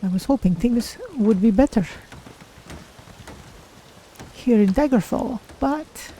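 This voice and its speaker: A woman's voice: on the high side, moderate pace, dark tone, slight background noise, lightly acted, rough recording. A woman voicing a curious, naive cat-folk adventurer, musing aloud in careful, formal phrases as she plays a game. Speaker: streamer voicing own game character. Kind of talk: in-character game playthrough narration.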